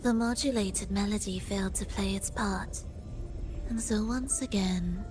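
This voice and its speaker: Casting: actress as solemn, determined game antiheroine, medium pitch, deliberate slow delivery, music behind. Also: female voice, fairly even intonation, natural voice. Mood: cool, persuasive. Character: grave, resolute woman musician bent on her mission. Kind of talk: scripted video game voice acting.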